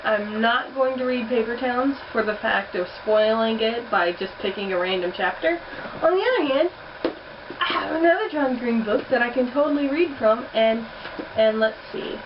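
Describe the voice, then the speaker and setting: A woman's voice: a little high, moderate pace. A young woman chatting bluntly in a casual vlog, swearing now and then. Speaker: vlogger. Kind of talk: casual accent challenge vlog. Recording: distant muffled home recording with heater noise.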